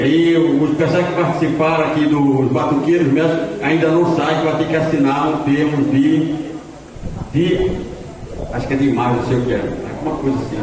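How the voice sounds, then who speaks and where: A man, president of a cultural association, giving a rambling, grateful thank-you speech at an event. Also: a little high. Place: cultural center hall. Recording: echoey hall, distant mic, crowd.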